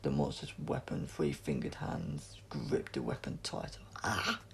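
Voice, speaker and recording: Very gravelly male story narrator. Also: extremely low pitch, huge pitch swings, measured pace, darkish tone. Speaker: audiobook reader.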